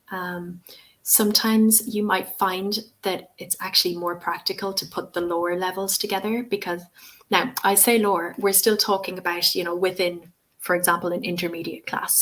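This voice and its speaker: Irish woman, forties, webinar presenter, friendly chatty delivery, medium pitch. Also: a bit bright. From Ireland. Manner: friendly, chatty, many "um"s and "you know"s. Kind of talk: live webinar Q&A.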